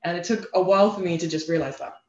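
Thoughtful young Englishwoman in a roomy space. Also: on the low side. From England. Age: young adult. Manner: thoughtful, halting, frequent "you know" and "like". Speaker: interview guest, self-love advocate. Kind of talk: reflective conversational interview. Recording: roomy echo, slightly dull lo-fi sound.

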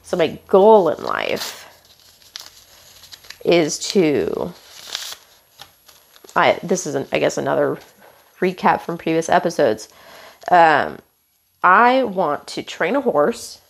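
A young woman hosting a podcast, hoarse and stuffed up from illness, rambling casually with lots of fillers and apologies while walking around her house on a headphone mic. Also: sits low, very animated, slow pace, pauses often, slight background noise. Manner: meandering, many fillers and apologies, casual swearing. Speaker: podcast host. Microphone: headphone mic into phone.

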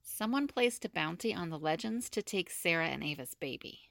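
Woman acting a calm, creaky-voiced seer. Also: on the low side, dry recording, studio quality. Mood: serious, measured. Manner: measured, earnest. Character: calm, serious, sensible woman seer on a team. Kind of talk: audio drama acting.

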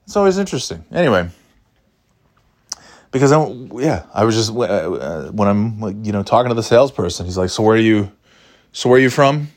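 Solo podcast host, middle-aged man, lowish voice, rambling and wry, off-the-cuff. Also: sing-song, brisk. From New York. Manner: rambling, self-interrupting, wry. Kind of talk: casual solo podcast monologue.

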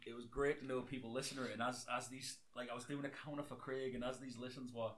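A young man co-hosting a casual podcast, his voice hoarse and nearly gone, talking excitedly and swearing freely. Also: medium pitch, fast talker, slight background noise. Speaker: podcast co-host. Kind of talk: casual chat.

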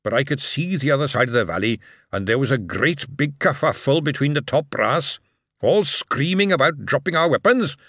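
A man voicing an old male dwarf cobbler, blunt and earnest, telling his tale in a gruff, rising voice. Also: mid-range voice, moderate pace, no echo, clean studio sound, pitched above the performer's own voice. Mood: gruff reminiscing. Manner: gruff, telling a war story with rising excitement.

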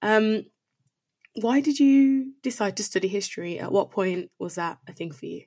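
A woman's voice: medium pitch, animated, normal speed, a little mellow. A woman hosting a conversational podcast and interviewing a guest, with an effusive, appreciative manner.

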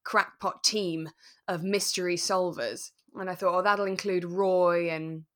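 Woman, lowish voice, chatting casually. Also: fairly even intonation, a little slow, a bit bright. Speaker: podcast co-host. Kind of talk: relaxed casual chat about a radio drama.

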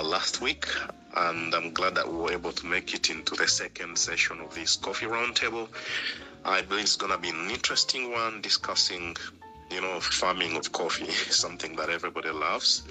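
Deep-voiced man with a cold. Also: sharp tone, poor audio. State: has a cold. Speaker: coffee company director, panelist. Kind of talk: casual online panel talk.

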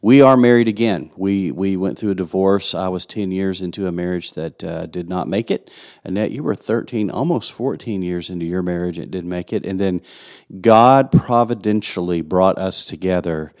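A man's voice: deep voice, moderate pace, darkish tone. A middle-aged man with a West Texas accent, a pastor co-hosting a podcast in joking, playful casual chat. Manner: jokey, playful banter.

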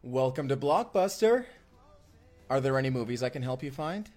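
A man's voice: medium pitch, animated, moderate pace, darkish tone, soft background music, close to an everyday voice. A man acting as a devoted, sweetly awkward young romantic in an immersive audio roleplay, speaking in an earnest, hesitant, conversational way. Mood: flustered, friendly.

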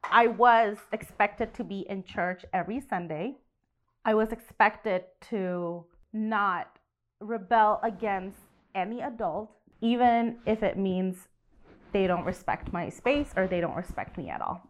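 A woman's voice: mid-range voice, unhurried. A woman from Indonesia co-hosting a podcast, talking candidly in casual chat and laughing easily. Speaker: podcast co-host.

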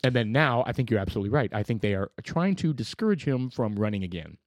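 A man co-hosting a casual podcast, laying out his opinions firmly and keeping the talk moving. His pitch is ordinary for a man. The recording is dry and close. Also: expressive, fast talker, no room sound. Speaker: podcast co-host.

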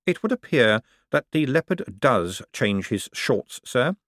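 A man's voice: mid-range voice, very animated, measured pace, fairly bright tone, no echo, studio quality, voice pushed up. A man voicing a prim, polite male secretary who speaks deferentially and precisely in an audiobook.

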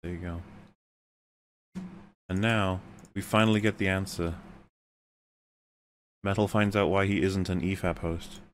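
A man's voice: sits low, steady tone, unhurried, long pauses, slight background noise. A man hosting a casual group podcast, talking in a rambling, joking and sometimes profane way. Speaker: podcast host. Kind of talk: casual group chat commentary.

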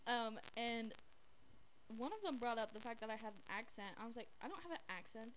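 High-voiced young woman chatting on a podcast. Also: animated, a little fast, fairly bright tone. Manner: informal, heavy use of "like" when quoting. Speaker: podcast co-host. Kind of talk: casual chat, telling anecdotes. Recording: noisy amateur podcast recording.

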